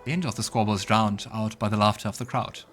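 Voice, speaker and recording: A man's voice: fairly low pitch, fairly even intonation, quick pace, a bit bright. A man giving casual let's play commentary and narrating scenes from a fantasy game. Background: game music.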